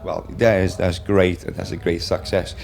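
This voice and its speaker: Lower-pitched male interviewer, polite and halting, live from a trade fair stand. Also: little pitch movement, creaky, darkish tone. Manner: halting, effusively polite, loose phrasing. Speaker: interviewer for a brand's web TV.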